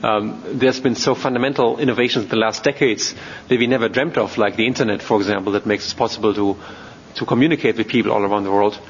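A man from Germany with a German accent gives an informal technical talk as a robotics researcher, speaking haltingly with many ums and repeated words. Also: on the low side, fairly even intonation. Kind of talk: informal technical lecture to an audience. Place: large reverberant hall, speaking to an audience. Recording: muffled, noisy, reverberant hall.

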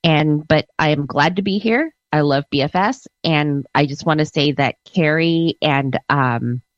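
A woman's voice: sits low, unhurried, no echo. A middle-aged woman with a sore throat, talking around a cough drop, friendly and apologetic as she starts her presentation. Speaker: district manager presenting. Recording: dull lo-fi call audio.

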